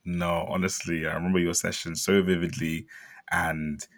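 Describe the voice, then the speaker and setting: A young man from Zambia, a company founder telling his story in a chatty interview, with lots of fillers and bursts of excitement. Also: sits low, moderate pace. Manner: chatty, many fillers, animated when excited. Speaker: startup founder guest.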